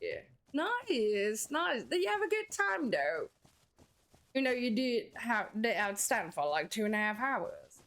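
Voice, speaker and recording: Lively Dutch woman streaming a game. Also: a little high, unhurried. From Netherlands. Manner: chatty, answers viewers, bursts of laughter. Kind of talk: live-streamed gameplay chat.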